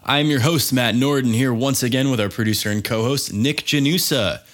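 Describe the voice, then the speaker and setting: A man's voice: medium pitch, moderate pace. Male podcast host, stuffy nose from a cold, upbeat, mid-range voice, casual chat. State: congested from a cold.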